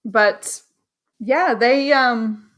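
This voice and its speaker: A woman from Dallas talks through an easygoing interview with a bright, sharp-edged voice sitting a touch high. Her delivery is bubbly and chatty, full of ums and quick asides. She comes across as a friendly paranormal investigator trading stories. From Dallas, Texas. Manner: chatty, bubbly, filler-heavy. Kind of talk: casual interview chat.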